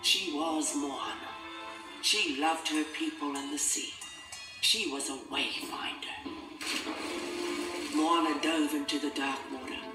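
A children's storybook narrator with a dramatic scripted delivery at a medium pitch. The voice sits a little far off in an echoey recording, with loud music behind it. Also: fairly even intonation, slow pace, pauses often. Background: loud film-score music.

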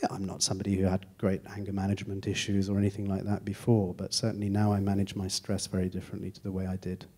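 Director guy at a screening q&a, low flat voice, halting and thoughtful, handheld mic. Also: breathy edge. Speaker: film director. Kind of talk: answering audience questions. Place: cinema stage at a Q&A.

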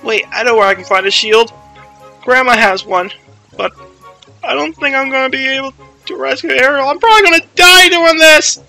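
A man's voice: extremely high pitch, moderate pace, vocal fry, sharp tone, faint music behind, voice pushed up, exaggerated voice, poor audio. A man voicing an anxious boy hero from a video game, boastful yet easily frightened, whiny and nervous. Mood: panicked.

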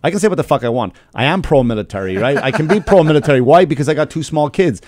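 Fast-talking, emphatic Irish man. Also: fairly high pitch, animated, a little mellow, dry recording. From Ireland. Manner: emphatic, heavy swearing. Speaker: comedian. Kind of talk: casual podcast storytelling. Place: small podcast studio. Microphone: close studio microphone with headphones.

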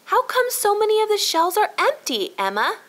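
Woman as a curious, high-voiced little boy. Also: big pitch swings, moderate pace, a bit bright, full character voice. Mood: puzzled. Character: curious, eager little boy asking questions.

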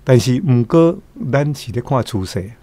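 Older man, Taiwanese accent, medium pitch, informal commentary, frequent tag particles. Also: animated, normal speed, warm tone. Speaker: commentator, church elder.

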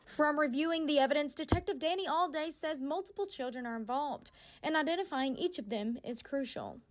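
A woman's voice: high-pitched, fairly quick, dry recording. A woman in her twenties delivering a scripted TV news report into a handheld microphone. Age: 20s.

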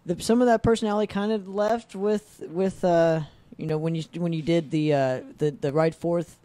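Mellow, higher-voiced man hosting a podcast. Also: fairly even intonation, moderate pace, dry recording. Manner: hesitant, many "um"s, recaps before asking. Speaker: podcast host. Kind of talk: interview questions. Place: small studio room. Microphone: desk mic with headphones.

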